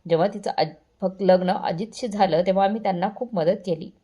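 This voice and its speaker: A woman's voice: mid-range voice, brisk, warm tone, muffled recording, natural voice. A woman voicing a boastful, condescending wealthy mother-in-law with showy, patronizing politeness, in a family story. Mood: smug.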